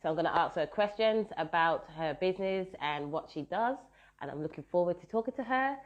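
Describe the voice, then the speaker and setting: A woman's voice: on the low side, animated, brisk. Lady host on a video call, super enthusiastic, kinda muffled audio. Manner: effusive, gushing with compliments. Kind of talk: live-stream interview.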